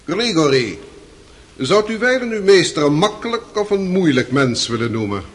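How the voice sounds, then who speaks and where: An actor playing a formal, insistent public prosecutor in a radio courtroom drama, precise and pointed in manner. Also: male voice, a little high, big pitch swings, moderate pace.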